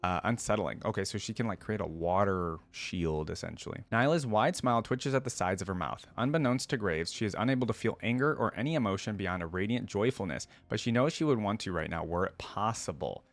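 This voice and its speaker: A man's voice: fairly low pitch, lively intonation, brisk, few pauses, dry recording. A man hosting a reaction video, reading a story aloud and adding casual commentary.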